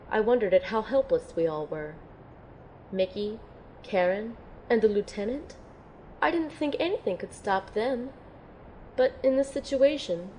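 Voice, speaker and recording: A woman playing an earnest, unsure young female recruit in an audio drama, sounding sincere, gentle and tentative. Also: mid-range voice, a little hiss or hum, close to an everyday voice, low-quality recording. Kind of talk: audio drama acting and first-person narration.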